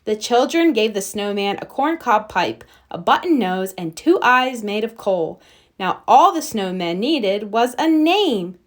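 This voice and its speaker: A woman reading a children's storybook aloud as narrator, with expressive storytelling. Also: mid-range voice, unhurried. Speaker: storybook reader.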